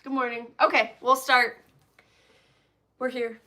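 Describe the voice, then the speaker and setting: A woman's voice: on the high side, flat delivery, slow pace, bright, forceful tone. A woman co-hosting a casual live stream from a phone, chatting and laughing as she greets viewers. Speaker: co-host of a stitching live stream. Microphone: phone camera.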